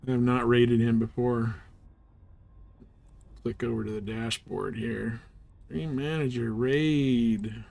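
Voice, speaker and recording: A man's voice: mid-range voice, fairly even intonation, takes it slowly, gravelly, long pauses, darkish tone. A man chatting casually as a live game streamer, easygoing and often half muttering to himself as he goes.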